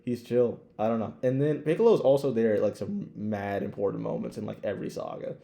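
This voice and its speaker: A man's voice: on the low side, brisk, vocal fry, warm tone. A man co-hosting a podcast in casual, slangy, sweary talk, often restarting and leaning on filler words. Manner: informal, hesitant phrasing peppered with "like". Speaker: podcast co-host. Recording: roomy, echoey sound.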